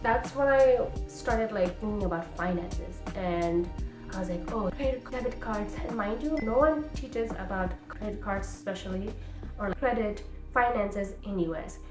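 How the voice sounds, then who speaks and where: A woman in her twenties from Nepal talks through a casual personal vlog, reflective and chatty with plenty of fillers. Her voice sits at a middle pitch for a woman. The room is echoey, and music plays clearly underneath. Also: moderate pace. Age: 20s. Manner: chatty, reflective, many fillers like "like" and "you know". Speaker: vlogger and coach. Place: home in California.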